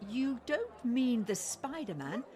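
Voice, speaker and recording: A woman's voice: on the high side, sing-song, slow, crowd noise. A woman playing a worldly, droll best friend in a radio play, arch and teasing. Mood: dry teasing. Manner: arch, teasing, drawling darlings.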